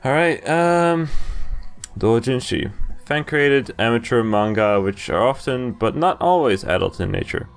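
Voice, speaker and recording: A man's voice: mid-range voice, expressive, unhurried, gravelly, clear, resonant tone, soft background music. A man hosting a game playthrough, reading visual novel narration aloud and breaking off for casual commentary. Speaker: video game playthrough host.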